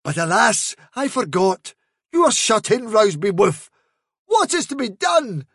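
A man's voice: high voice, sing-song, measured pace, a bit bright, slightly rough, dry recording, studio quality, voice pushed up, heavily acted. A man doing a sly trickster rabbit. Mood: mock despair. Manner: sly, solemn, dramatic warnings. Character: cunning male trickster rabbit posing as a fairy.

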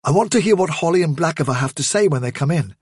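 Man voicing a high-pitched, commanding British rabbit chief. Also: expressive, darkish tone, husky, no room sound, studio quality. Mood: calm, wry. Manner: brisk, urgent, firm. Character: decisive male rabbit chief, stubborn, commanding leader.

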